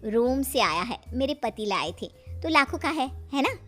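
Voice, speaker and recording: A woman's voice: sits high, sing-song, a little slow, a bit bright, faint music behind, exaggerated voice. A woman voicing a polite but proud new neighbour with a careful manner. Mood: polite pride.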